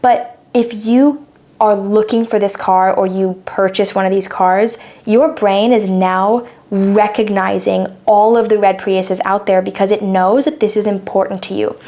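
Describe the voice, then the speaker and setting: A woman's voice: medium pitch, fairly even intonation, unhurried, mellow. A woman in her twenties, co-hosting a podcast and explaining ideas in a thoughtful, casual chat. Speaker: podcast co-host.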